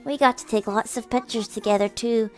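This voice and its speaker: A woman voicing a cool, quietly affectionate young cat girl with soft, smooth, understated delivery. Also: a little high, steady tone, brisk, faint music behind, lightly acted. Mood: drowsy, content. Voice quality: soft, subdued.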